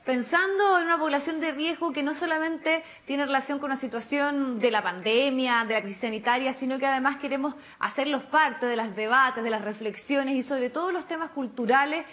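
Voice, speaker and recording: A woman's voice: high voice, moderate pace, hardly any pauses, fairly bright tone. A woman in her thirties interviewing a guest on a television news program from home, asking long, winding questions. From Chile. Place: home room.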